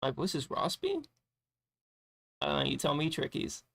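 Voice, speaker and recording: Tired gravelly-voiced male streamer. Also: a little high, wildly swooping pitch, normal speed, pushed and bright. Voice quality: raspy, gravelly edge. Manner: loose, rambling, swears casually. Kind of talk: casual gaming stream chat.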